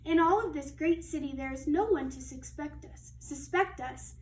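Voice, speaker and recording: Woman reading as a sly male thief, high-voiced. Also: moderate pace, darkish tone, roomy sound, far from the mic, slight background noise, close to an everyday voice. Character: male sneak thief, sly then jittery.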